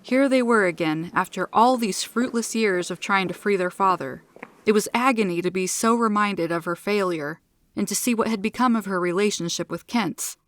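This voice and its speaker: A woman's voice: medium pitch, moderate pace, no echo. A woman narrating scripted sci-fi fiction in a dramatic storytelling style, close to the microphone.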